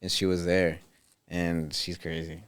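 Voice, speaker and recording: A young man from Canada talking in an easygoing, unhurried way, filling his sentences with casual slang. His voice sits low and comes through smooth and clear. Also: level tone. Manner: laid-back, easygoing, says "like" and "bro" a lot. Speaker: podcast guest, content creator. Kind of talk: casual interview chat.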